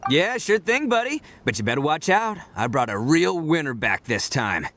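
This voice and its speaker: A man's voice: fairly high pitch, sing-song, normal speed, fairly bright tone, slight background noise. A man playing a brash, boisterous, good-hearted young oni gang boss in an anime game, with a slangy, informal delivery.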